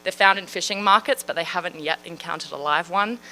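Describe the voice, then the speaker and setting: An Australian woman in her thirties gives a public science talk. Her voice is bright and forceful. She speaks in a friendly, chatty way and often fills pauses with "um" and "uh". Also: on the low side, rough recording. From Western Australia. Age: 30s. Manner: friendly, chatty, with frequent fillers. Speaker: marine scientist.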